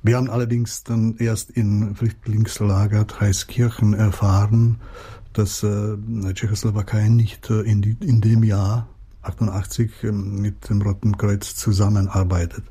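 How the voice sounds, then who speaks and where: A man's voice: lowish voice, little pitch movement, a little slow, mellow, husky. A middle-aged Czech-born man with a Czech accent, a writer answering interview questions hesitantly with many fillers and restarts.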